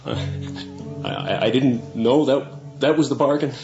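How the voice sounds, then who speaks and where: A man acting in a radio drama as an anxious father, halting and nervous in his speech. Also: mid-range voice, music in the background. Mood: shaken, resigned. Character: anxious, hesitant father, protective of his son.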